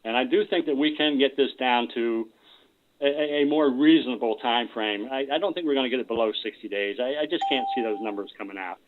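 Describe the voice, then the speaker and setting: Male business presenter on a conference call, narrow phone sound, explanatory and unscripted, restarting phrases as he goes. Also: mid-range voice. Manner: halting, repeats words when starting sentences. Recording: tinny phone-line conference call audio.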